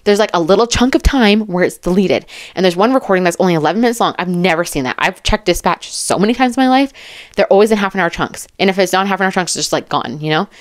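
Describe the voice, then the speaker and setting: A woman's voice: mid-range voice, fast talker, dry recording, clean studio sound. A woman giving casual true crime commentary, chatty and rambling, with an emphatic, informal way of talking.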